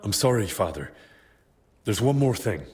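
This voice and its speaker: A man playing an earnest, determined young man in a medieval video game drama, speaking in a measured, respectful way. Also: lowish voice, sing-song, darkish tone.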